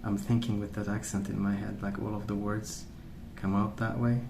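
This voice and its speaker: A man speaking softly in a hushed, unhurried ASMR ramble, musing as he goes. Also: lowish voice, flat delivery, creaky, echoey room, noisy background. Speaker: ASMR video host. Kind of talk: soft-spoken ASMR rambling while colouring.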